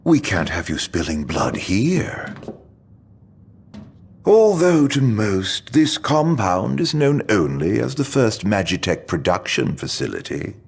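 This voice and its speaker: A man playing a smug, theatrical game villain, taunting with grandiose mock politeness in a gravelly, husky voice. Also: fairly low pitch, big pitch swings, slow, heavily acted. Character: smug, theatrical male villain, mocking and sly. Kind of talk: video game cutscene acting.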